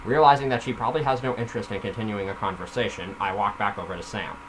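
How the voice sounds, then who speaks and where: A man's voice: fairly low pitch, fairly even intonation, fast, noisy background. A man reading a story aloud casually, with informal self-critical asides off the script. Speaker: reader of their own story.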